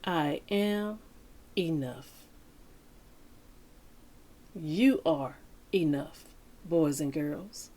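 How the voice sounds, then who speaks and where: A woman reading a picture book aloud to children like a teacher, with deliberate, dramatic phrasing and pauses. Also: deep voice, dark tone. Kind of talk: expressive children's read-aloud.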